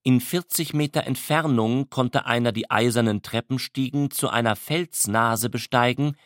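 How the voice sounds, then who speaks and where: A man reading scripted literary narration in a measured, matter-of-fact way. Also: mid-range voice, dry recording, clean studio sound.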